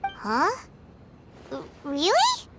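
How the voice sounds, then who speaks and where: A tiny, cheeky fairy sidekick from a video game, childlike and blunt. The voice is extremely high and squeaky and swoops wildly in pitch, over loud background noise. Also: female voice, huge pitch swings, very slow, heavily acted. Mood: surprised.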